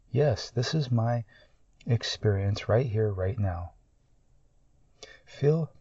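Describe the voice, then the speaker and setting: Slow, low-voiced man guiding meditation. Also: gravelly, slightly rough, dry recording, highs cut off. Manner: calm, measured, long pauses between short phrases. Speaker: meditation guide. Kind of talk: guided meditation, slow and soothing.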